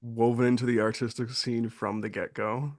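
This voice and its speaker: A man's voice: medium pitch, normal speed, dry recording, studio quality. A young man from western New York hosting a podcast, chatting casually and easily with lots of fillers. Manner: relaxed, filler-heavy, laughs easily. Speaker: podcast host. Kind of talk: casual chat.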